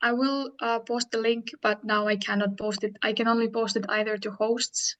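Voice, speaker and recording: A woman with a fairly high but flat voice cuts in casually on a webinar call. She trips over her words, corrects herself, and laughs lightly along the way. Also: normal speed. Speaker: webinar co-organizer. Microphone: video call.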